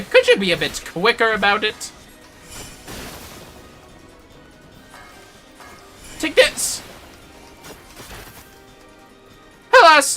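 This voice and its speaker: A flamboyant shinobi villain from a game, acted out by a woman, taunts with grand theatrical relish. His voice rides high and swings widely in pitch, with a bright, forceful edge. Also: exaggerated voice. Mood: theatrical, impatient. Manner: grandiose, taunting, talks in third person. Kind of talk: theatrical game dialogue read aloud.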